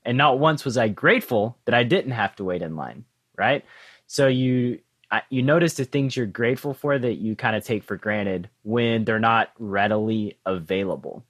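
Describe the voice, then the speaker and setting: A man with a fairly low voice hosts a casual interview podcast. The sound is very dry, and his phrasing is reflective, informal and a little halting. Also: fairly bright tone, no echo. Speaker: podcast host.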